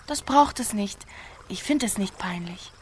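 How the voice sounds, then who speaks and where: A woman's voice: medium pitch, animated, measured pace, close to an everyday voice. Radio play, actress as teenage village girl, forward and blunt, some ambient noise behind. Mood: playful, probing. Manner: blunt, provocative. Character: seventeen-year-old village girl, forward, defiant, blunt. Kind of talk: radio play acting in standard German.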